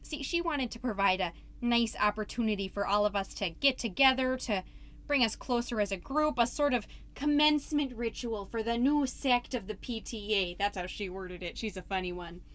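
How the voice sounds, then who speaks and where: A woman's voice: on the high side, a bit bright, natural voice. A woman playing a folksy, rambling suburban PTA mom giving an over-polite, theatrical comedy monologue as a podcast host. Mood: chatty, polite. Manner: chatty, over-polite, self-interrupting, theatrically drawn-out phrases.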